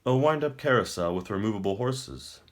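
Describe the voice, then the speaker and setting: Gloating tyrant wizard for fantasy audiobook man acting: raised pitch, wildly swooping, grand formal courtesy with mockery. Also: expressive, a little fast, a little hiss or hum, lightly acted. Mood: amused. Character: gloating male wizard emperor, smooth-talking tyrant.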